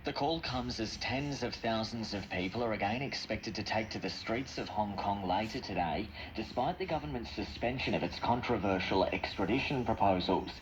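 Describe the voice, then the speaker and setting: A man's voice: lowish voice, moderate pace, highs cut off. A man with an Australian accent reading a scripted news bulletin, heard through a vintage valve radio. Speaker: news reader. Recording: band-limited vintage valve radio, clear noise.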